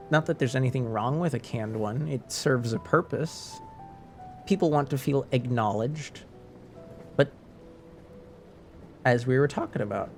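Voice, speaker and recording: A man with a light French Canadian accent chats loosely to his live stream audience, circling back and restarting his sentences as he thinks aloud. His voice sits at an ordinary middle pitch, and faint music plays quietly underneath. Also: creaky. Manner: rambling, many restarts and fillers. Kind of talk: casual chat with stream viewers.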